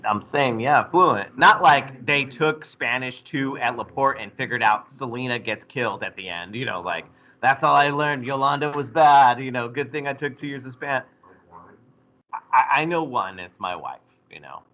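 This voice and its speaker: A man teaching a history class, lecturing in a lively way and putting questions to his students. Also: medium pitch, moderate pace, lo-fi sound. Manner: animated, asks the class questions.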